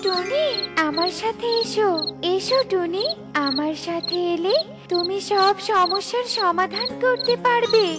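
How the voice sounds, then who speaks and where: Woman as a very high, sweet butterfly fairy. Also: over loud music. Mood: sweet, coaxing. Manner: sweet, coaxing, drawn-out calling. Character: gentle, luring female fairy in butterfly form. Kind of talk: children's fairy-tale cartoon voice acting.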